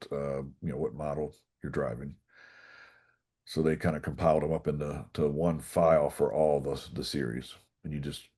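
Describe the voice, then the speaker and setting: A man presenting a technical webinar, explaining speaker setup in a relaxed way with frequent fillers. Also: very deep voice. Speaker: product trainer.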